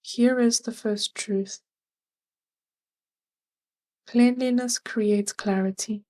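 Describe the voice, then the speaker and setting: Slow-paced woman guiding a meditation. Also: on the high side, fairly even intonation. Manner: gentle, measured, soothing instruction. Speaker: meditation guide. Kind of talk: guided meditation, scripted.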